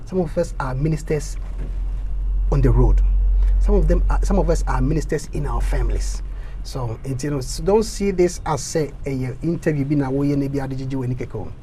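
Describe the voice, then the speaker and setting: A Ghanaian man speaking in an interview, switching between English and Twi, animated and often repeating words as he stammers. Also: mid-range voice, fairly quick, a little hiss or hum, rough recording. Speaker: interviewee, local election candidate.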